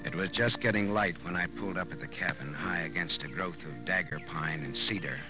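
An actor playing a wry, world-weary private detective, clipped and deadpan, in 1940s radio drama style. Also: male voice, low-pitched, fairly quick, close to an everyday voice. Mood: terse, weary. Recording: dull old radio recording with music.

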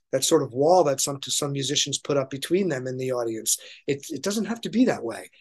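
Higher-voiced man chatting enthusiastically as a guest. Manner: enthusiastic, anecdotal. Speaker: interview guest, touring keyboardist. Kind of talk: casual interview chat.

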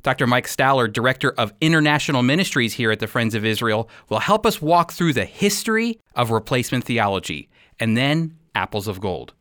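A man's voice: mid-range voice, very animated, fairly quick, fairly bright tone. A man hosting a radio teaching program, presenting and interviewing.

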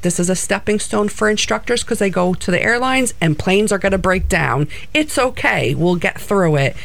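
A woman's voice: mid-range voice, lively intonation, normal speed, vocal fry. A middle-aged woman from New Jersey with a New Jersey accent, answering interview questions in an earnest way. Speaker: guest, co-owner of flight center.

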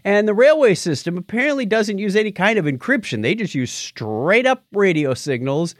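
Male co-host, American, chatty and chuckly, very animated intonation, dry sound. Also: sits high, normal speed, no room sound. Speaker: podcast co-host. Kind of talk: casual news discussion.

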